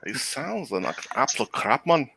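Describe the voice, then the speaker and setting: Sardonic, lively-voiced man. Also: medium pitch, normal speed, gravelly, noisy background. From Europe. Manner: sardonic, teasing. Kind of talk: casual banter on a stream.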